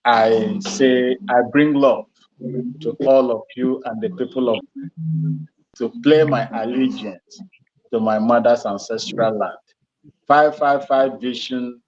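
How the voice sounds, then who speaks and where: Male guest on a live call, mid-pitched, hesitant with frequent restarts; dull lo-fi line. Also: steady tone, darkish tone. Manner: halting, repeats words and restarts, laughs between phrases. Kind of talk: casual live call-in talk. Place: Ghana.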